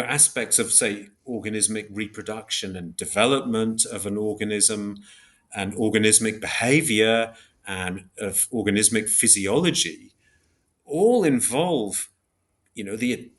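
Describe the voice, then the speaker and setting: A man with a fairly low voice speaks as an academic guest in an interview. He explains step by step in a measured, somewhat hesitant way, often reaching for an example. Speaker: podcast guest, philosopher of biology.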